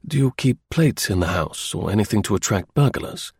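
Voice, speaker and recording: A man voicing a brisk, observant gentleman detective in a storybook, incisive, courteous and authoritative. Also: fairly low pitch, expressive, husky, no echo, clean studio sound, full character voice. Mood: probing, brisk.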